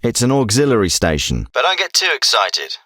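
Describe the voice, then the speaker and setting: Terse, commanding special forces leader. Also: male voice, medium pitch, wildly swooping pitch, a little fast, sharp tone, no room sound, studio quality, full character voice. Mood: businesslike. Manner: clipped, businesslike military briefing delivery. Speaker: video game voice actor. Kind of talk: scripted military radio dialogue.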